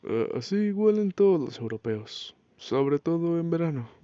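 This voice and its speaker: A man playing a pompous, unhurried father in a radio drama, on a dull, lo-fi recording. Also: a little high, big pitch swings, warm tone, no room sound. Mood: smug. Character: Europe-admiring father, dryly pompous.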